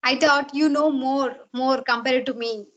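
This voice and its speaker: A woman from India with a Telugu accent and Telugu as her native language, chatting casually in halting English with repeated words. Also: high-pitched, steady tone, a bit bright, dull audio. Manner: halting, repeats words while searching for phrasing. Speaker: talk show host in conversation. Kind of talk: casual chat. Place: video call.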